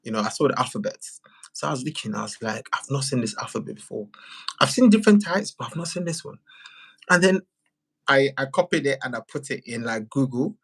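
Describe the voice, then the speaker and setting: A man who makes videos, chatting casually as a livestream guest in an animated way, often repeating words and phrases for emphasis. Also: medium pitch, a little fast, gravelly, breathy edge, rough recording.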